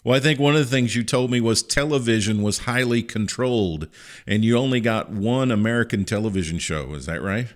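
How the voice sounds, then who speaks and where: A man's voice: mid-range voice, fairly quick. A middle-aged man hosting an interview podcast, introducing and questioning his guest in an upbeat, friendly way. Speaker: podcast host.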